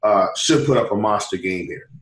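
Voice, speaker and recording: Hoarse low-voiced man on a podcast. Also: moderate pace, fairly bright tone, roomy sound, poor audio. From US East Coast. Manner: eager, stammering restarts. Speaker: podcast guest analyst. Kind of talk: fantasy basketball podcast chat.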